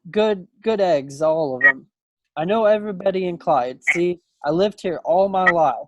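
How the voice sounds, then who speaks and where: A man's voice: sits high, normal speed, a bit bright, dry recording, lo-fi sound. A man playing a playful, folksy young small-town suitor from the 1940s in a play reading over a video call. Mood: chatty, earnest. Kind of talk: stage play table read. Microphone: computer microphone on a video call.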